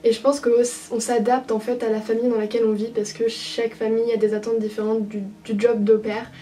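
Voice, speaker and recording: A woman's voice: on the high side, flat delivery, fairly quick, dark tone, recorded from a distance. Hesitant young French woman vlogging. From France. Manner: hesitant, many fillers and restarts. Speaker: au pair vlogger. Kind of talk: casual reflective monologue.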